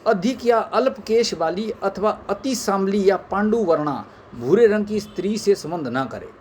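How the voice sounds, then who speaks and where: Higher-pitched man reading scripture, noisy recording. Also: lively intonation, normal speed, darkish tone. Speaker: reader of scripture. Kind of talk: reading scripture aloud, with brief asides.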